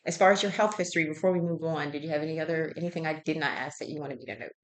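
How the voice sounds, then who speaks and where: A woman's voice: low-pitched, steady tone, racing. A woman working as a healthcare intake interviewer, asking health history questions conversationally and methodically, checking each answer.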